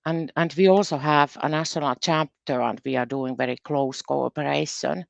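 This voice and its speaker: Woman with a Finnish accent, halting. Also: sits low, vocal fry, no room sound, highs cut off. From Finland. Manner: careful, halting delivery that often doubles words. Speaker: university research specialist presenting on a panel. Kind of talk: conference presentation.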